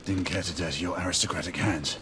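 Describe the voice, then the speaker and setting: Actor as a deep, stern knight. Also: male voice, steady tone, fast, noisy background, close to an everyday voice. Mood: stern, urgent. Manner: stern, formal. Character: grim, commanding Victorian knight hunting in London. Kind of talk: cinematic game acting.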